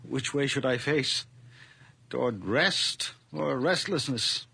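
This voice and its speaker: A world-weary old widower in a radio drama, frail and easily frightened. The voice is creaky and husky, with a tense, sharp edge. He speaks haltingly, sighing and groaning as he goes. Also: mid-range voice, dry recording, heavily acted. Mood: wistful, resigned. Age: older.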